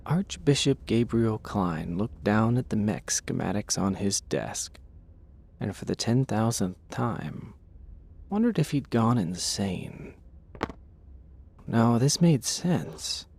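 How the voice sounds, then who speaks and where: A man narrating an audio drama with a gravelly, husky voice and a wry, dramatic delivery. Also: on the low side, expressive, slow pace, darkish tone. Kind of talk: scripted audio drama storytelling.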